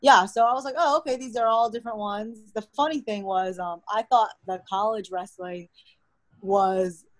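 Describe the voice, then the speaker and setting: A young American woman whose first language is Mandarin, telling stories in a relaxed, chatty way as a podcast guest. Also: medium pitch, moderate pace, no echo. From United States. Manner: relaxed, chatty storytelling with frequent "like". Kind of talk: casual interview conversation.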